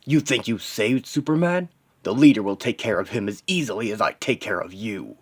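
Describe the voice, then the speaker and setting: A man's voice: lowish voice, expressive, normal speed, husky. Comic-book villain, done by a male narrator: massive alien despot, cruel, boastful, sneering contempt. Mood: taunting. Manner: taunting, boastful.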